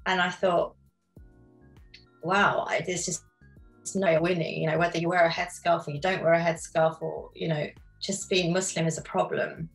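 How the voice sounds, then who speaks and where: A middle-aged woman from London, answering interview questions in a measured, reflective way over a video call from her home studio. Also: fairly low pitch, little pitch movement, gravelly, soft background music, poor audio. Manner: reflective, measured, with frequent ums. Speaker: guest artist. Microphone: video call webcam.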